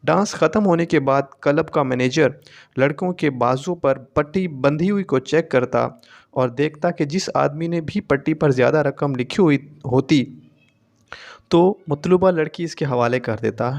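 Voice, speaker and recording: A man reading a scripted, emotional first-person story as a narrator. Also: a little high.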